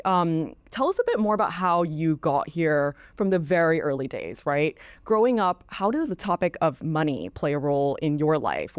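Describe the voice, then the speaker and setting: A woman from Hong Kong with a notably low voice, recorded dry and close. She hosts the interview and asks her questions in a measured, attentive way. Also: darkish tone, dry recording. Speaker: interview host.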